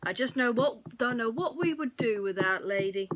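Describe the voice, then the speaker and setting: A woman voicing a gentle, groggy young father in a bedtime story. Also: fairly high pitch, lively intonation, normal speed, background noise, voice pushed up, natural voice. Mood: grateful. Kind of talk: read-aloud bedtime story dialogue.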